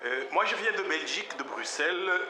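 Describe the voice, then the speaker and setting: A man with a Congolese accent asking interview questions at a public event in deliberate, halting phrases. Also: on the high side, expressive, crowd noise. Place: outdoor public square. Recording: echoey, noisy outdoor event recording.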